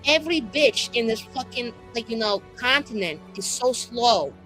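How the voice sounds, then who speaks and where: A woman's voice: fairly high pitch, lively intonation, normal speed, a bit bright, poor audio. A woman acts out a brash young heroine of a fantasy tabletop game. She is blunt and affectionate, chatting in rambling, sweary slang. Music runs underneath. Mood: scolding.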